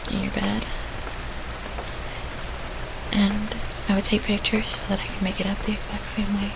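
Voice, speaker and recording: A woman's voice: medium pitch, creaky, background noise. A woman playing a shy, lovesick stalker confessing to a coworker in an audio roleplay, stammering, repeating and pleading. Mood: hushed, yearning. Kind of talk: immersive roleplay audio drama monologue.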